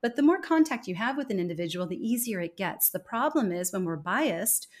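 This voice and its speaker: A woman's voice: mid-range voice, lively intonation, fairly quick. An American woman with a mid-range voice talks as a podcast guest. She explains at length in an articulate, emphatic manner, building her points steadily. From United States. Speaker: podcast guest, accent expert. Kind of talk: conversational interview.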